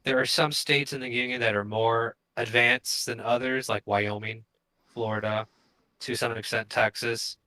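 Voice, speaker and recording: Man, talk show co-host, bright forceful voice, middle pitch, opinionated, halting casual phrasing. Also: fairly even intonation, rough recording.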